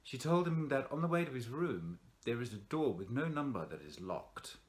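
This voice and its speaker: A man reading a scripted horror story in a theatrical, suspenseful way with dramatic pauses, as an actor would. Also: mid-range voice, very animated.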